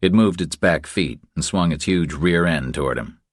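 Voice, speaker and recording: A man's voice: sits low, moderate pace, dry recording, studio quality. A man reading a thriller audiobook as a scripted narration.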